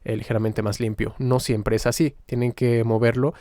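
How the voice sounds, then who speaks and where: A man giving an informal step-by-step software tutorial as the instructor, in a halting, explanatory manner. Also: mid-range voice, level tone, no echo, studio quality.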